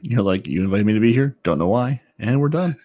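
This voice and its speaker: A man's voice: medium pitch, lively intonation, a little fast, warm tone, dry recording, dull audio. A man hosting a gaming podcast in a casual, wry, joking style. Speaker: podcast host.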